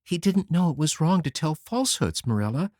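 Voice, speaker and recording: Reader voicing a young schoolteacher, gentle, earnest, soft, slightly raised voice, dry close sound. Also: mid-range voice, sing-song, fairly quick, no echo, pitched above the performer's own voice. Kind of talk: audiobook character voice.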